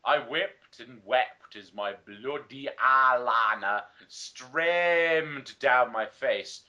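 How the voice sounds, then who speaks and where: A man's voice: medium pitch, drawn-out words, pushed and bright, lo-fi sound. A man doing a theatrical comic reading aloud among friends, stumbling over odd misspellings as he goes. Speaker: player in a dramatic reading game.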